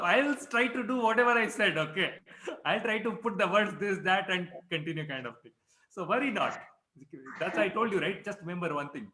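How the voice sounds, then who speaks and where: A man's voice: sits high, sharp tone, reverberant, a little hiss or hum, rough recording. A man teaching a Sanskrit class over a video call, encouraging his students and mixing Hindi words into his English. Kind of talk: online class introductions.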